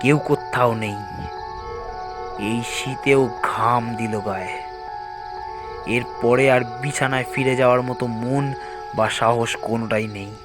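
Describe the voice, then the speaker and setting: A man's voice: mid-range voice, steady tone, takes it slowly, long pauses, music in the background. A man narrating a scripted first-person horror story in a dramatic, suspenseful way.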